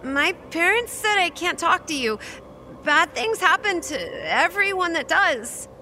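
Timid eight-year-old: high, bright, hesitant delivery for audio horror. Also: female voice, big pitch swings, unhurried, a little hiss or hum, exaggerated voice. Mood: timid worry. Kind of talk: horror audio drama acting.

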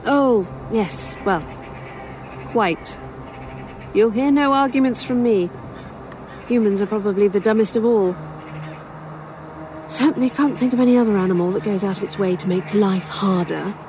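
A woman's voice: mid-range voice, moderate pace, pauses often, warm tone, a little hiss or hum, lightly acted. An actress playing a weary, sardonic human woman in an audio drama, with dry sarcasm and some hesitation. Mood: dry, sarcastic. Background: outdoor ambience, crows, vehicles.

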